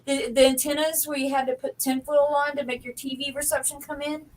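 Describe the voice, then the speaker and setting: A high-pitched woman with a lilt chats and fires off quick, teasing questions. There is a faint hiss of noise behind her. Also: flat delivery. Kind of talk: casual group chat.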